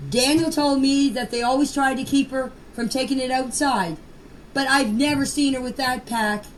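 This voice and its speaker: A woman's voice: sits high, steady tone, normal speed, low-quality recording. An older woman informally recounting memories in a plain-spoken, folksy way, often repeating her phrases. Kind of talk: informal personal recollection. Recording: clear background noise, amateur voice clip.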